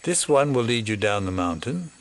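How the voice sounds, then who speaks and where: An actor playing a cynical, world-weary older conspirator, measured, fatalistic and wry, in an audio drama. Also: male voice, fairly low pitch, sing-song, creaky, faint music behind. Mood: resigned.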